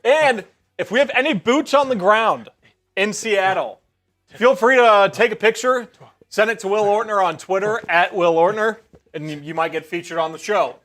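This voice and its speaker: A man co-hosting a live sports stream, cheerfully reading out viewer comments and shout-outs. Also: sits high, moderate pace, soft background music. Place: sports media studio.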